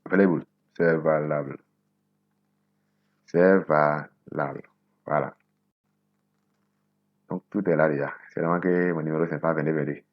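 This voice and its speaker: A man in his twenties from Benin, whose first language is Nago, hosting a coding tutorial and thinking aloud slowly as he types, repeating words to himself. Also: very deep voice, steady tone, no echo, highs cut off. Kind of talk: casual screen-recorded coding tutorial.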